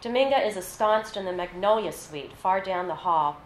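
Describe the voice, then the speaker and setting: A woman reading literary fiction aloud at a live event, with a measured, narrative delivery. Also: mid-range voice, expressive. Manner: measured, scripted narration with read-out dialogue. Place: live reading in an echoey venue. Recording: roomy echo, faint background noise.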